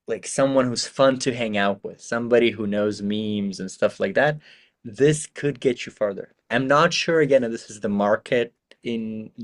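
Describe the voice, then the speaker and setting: A man talks loosely and casually, drifting through his points with plenty of fillers and the odd curse. He sounds like a podcast host chatting with a guest. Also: medium pitch. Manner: relaxed, rambling, swears casually. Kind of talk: casual advice chat.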